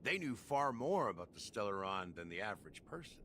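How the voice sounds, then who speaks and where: A man's voice: fairly low pitch, sing-song, fairly quick, sharp tone, a little hiss or hum. An actor playing a world-weary, grave man recounting a regretful past in a video game.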